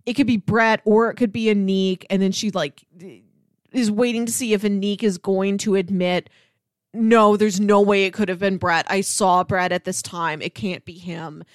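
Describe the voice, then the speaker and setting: A woman's voice: mid-range voice, steady tone, moderate pace, no room sound, clean studio sound. A woman co-hosting a casual TV review discussion, recounting scenes and voicing what the characters think. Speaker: podcast co-host.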